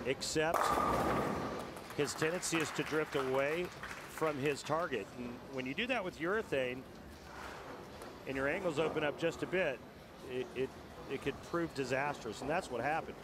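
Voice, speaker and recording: A man's voice: fairly high pitch, moderate pace, long pauses. A man explaining bowling technique at length as a color commentator. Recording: TV broadcast with arena noise behind.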